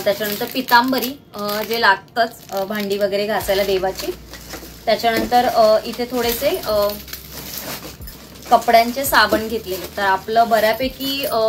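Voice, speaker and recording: A woman's voice: a little high, slight background noise. A woman, chatting casually to viewers and explaining things item by item like a home vlogger. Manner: explanatory, itemising. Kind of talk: casual product haul chat to viewers. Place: small indoor room at home, seated on the floor.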